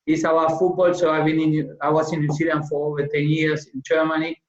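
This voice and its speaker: A man's voice: fairly high pitch, level tone, brisk. A man with an Argentine Spanish accent, hosting a conversational interview in a friendly, appreciative manner. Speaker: interviewer, football coach. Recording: muffled, echoey room sound.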